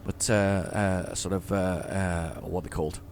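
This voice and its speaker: A hoarse man giving casual, rambling commentary while playing a driving game at home, often stammering and repeating words as he chats to himself. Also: deep voice, steady tone, moderate pace, a little mellow. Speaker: gaming YouTuber.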